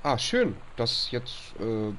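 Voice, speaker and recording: A man's voice: medium pitch, big pitch swings, takes it slowly, noisy background. A young man with a stuffy nose chatting casually over gameplay, reading on-screen text aloud and grumbling at bugs. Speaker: let's play host.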